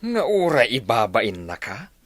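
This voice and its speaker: A male actor plays a stern, unforgiving father in a radio drama. He is gruff and dismissive, with lively swings in an average male pitch. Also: measured pace, no room sound, clean studio sound. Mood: bitter. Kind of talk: Ilocano radio drama acting.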